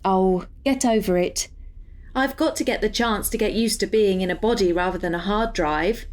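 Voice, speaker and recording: A woman's voice: mid-range voice, normal speed, a little mellow, natural voice. A woman playing a cheeky, chatty artificial intelligence in a sci-fi audio drama, with a breezy, teasing manner. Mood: playful. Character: cheeky, chatty female artificial intelligence hacker sharing a body.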